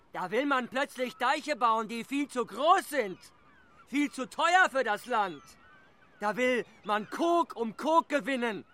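An actor playing a bitter, scornful village rebel in a German radio play, goading others with emphatic pauses. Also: male voice, high-pitched, bright, forceful tone, slight background noise, exaggerated voice.

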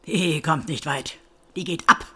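An actress playing a spiteful, gossipy old mother in a village audio drama, with a raspy, indignant, sharp-tongued delivery. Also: deep voice, unhurried, exaggerated voice. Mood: indignant, accusing.

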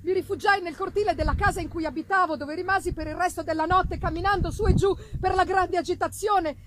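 A woman gives a dramatic, theatrical reading of a Gothic novel, with deliberate phrasing and strong emphasis. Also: very high-pitched, fairly bright tone, a little hiss or hum, rough recording. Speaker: reader performing a literary text.

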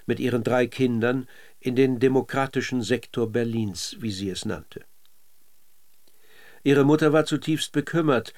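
A man with a mid-pitched voice narrates a scripted radio documentary. His delivery is measured and literary, shaped like careful reading of prose. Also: a little mellow.

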